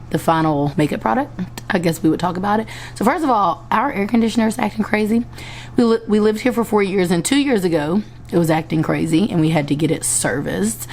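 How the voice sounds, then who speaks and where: A young woman vlogging from home with a hoarse voice. She chats casually and rambles, often correcting herself, while she finishes her makeup. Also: on the low side, a little mellow, slight background noise. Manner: rambling, self-correcting, chatty. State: hoarse after a concert. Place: indoors at home.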